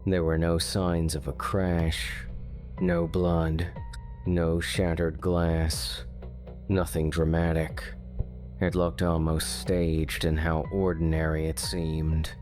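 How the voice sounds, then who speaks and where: A man narrating a scripted true-crime horror story in measured, short phrases with pauses. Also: low-pitched, little pitch movement, darkish tone, soft background music.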